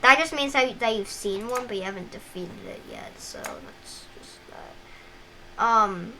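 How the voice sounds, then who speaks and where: A woman's voice: fairly high pitch, animated, a little slow, pushed and bright. Young female gameplay host, scratchy, rambling and casual, noisy home recording. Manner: rambling, filler-heavy, frequent restarts.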